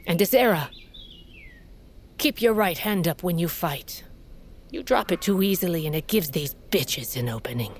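An actress playing a proud, hardened older queen and mother of a warrior tribe, speaking gravely and with command in an audio drama. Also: lowish voice, lively intonation, normal speed, pauses often, a little hiss or hum. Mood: stern, protective. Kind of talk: audio fiction drama acting.

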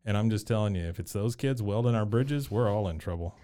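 A man with a lowish voice co-hosts a podcast in an easy, joking way. He rambles through stories and lets his words drop into a mumble now and then. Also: a little fast, mellow. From born in Taiwan, raised in Texas. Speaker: podcast co-host. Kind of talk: casual chat.

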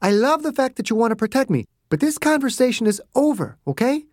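A narrator voicing a confident, no-nonsense woman doctor, with a much higher voice than the narration. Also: big pitch swings, darkish tone, dry recording, studio quality. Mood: firm. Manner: brisk, firm.

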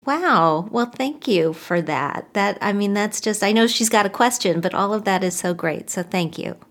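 A woman's voice: mid-range voice, expressive, normal speed. A woman co-hosting a podcast, chatting casually and reflectively with fillers and restarts. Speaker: podcast co-host. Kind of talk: casual personal chat.

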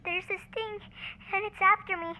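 A woman's voice: extremely high pitch, steady tone, normal speed, a little hiss or hum, heavily acted, poor audio. A woman playing a frightened little girl calling an emergency line in an audio drama. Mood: scared, breathless urgency. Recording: loud music under the voice. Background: loud dramatic music.

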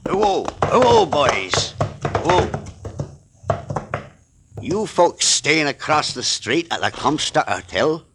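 A man's voice: a little high, very animated, slow, pauses often, a bit bright, a little hiss or hum, full character voice. An actor playing a sly old male stable-keeper who lures men into a stall, in a 1940s radio drama, with a folksy drawl that hides menace. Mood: gruffly polite. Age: older.

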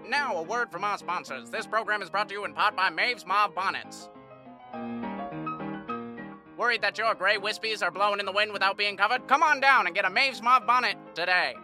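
A man plays a chirpy, pushy advertiser in a parody radio spot. The voice is high and gushing, set over a clear music bed. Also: moderate pace, natural voice. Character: chirpy, hard-selling radio advertiser of old ladies' bonnets.

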